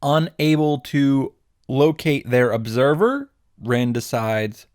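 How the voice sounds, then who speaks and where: A man's voice: mid-range voice, lively intonation, slow, darkish tone, no echo, studio quality. A man recapping a visual novel as a host, wry and irreverent, with joking asides and swearing for comic effect. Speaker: video game summary host. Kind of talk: sarcastic story recap with asides.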